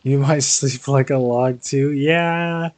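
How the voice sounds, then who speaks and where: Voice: mid-range voice, fairly even intonation, slow, a little mellow, no echo. A streamer chatting casually while playing a game, rambling with sweary, jokey asides. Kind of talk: casual livestream chat and game reactions.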